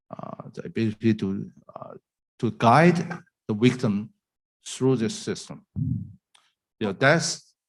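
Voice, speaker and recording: A man at a community forum asking questions haltingly, searching for words with many fillers. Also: fairly low pitch, rough recording.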